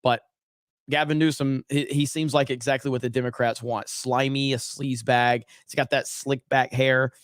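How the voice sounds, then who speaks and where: Energetic streamer with a cracking voice. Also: male voice, medium pitch, moderate pace, no room sound, studio quality. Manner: energetic, reads out viewer chat and shout-outs. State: losing his voice, cracking. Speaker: host. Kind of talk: casual livestream chat.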